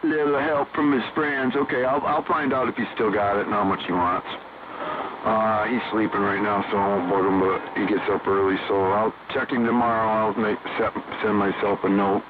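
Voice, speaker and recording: Low-voiced man on noisy CB radio. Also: steady tone, normal speed. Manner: rambling, slangy, swears casually. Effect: heard over CB sideband radio. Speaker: amateur CB radio operator. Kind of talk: casual on-air radio chat. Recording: noisy, narrow-band CB radio transmission.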